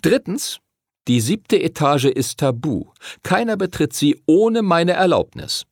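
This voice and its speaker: Storybook library master, played by a man: small, friendly, solemn teacher, pitched up, animated, husky edge. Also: unhurried, no room sound, clean studio sound, exaggerated voice. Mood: strict, instructive. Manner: solemn, instructive.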